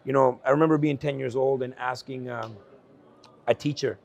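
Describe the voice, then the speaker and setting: A man in his forties from El Salvador, a guest speaking earnestly at an investment conference about his life, with frequent fillers and quick laughs. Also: medium pitch, steady tone, gravelly, darkish tone, lo-fi sound. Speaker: guest entrepreneur. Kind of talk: candid conversational storytelling.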